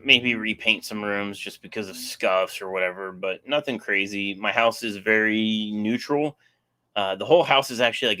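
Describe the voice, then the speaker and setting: A man's voice: on the low side, bright, forceful tone. A young man casually hosting a live stream, rambling and answering chat, his voice getting sore.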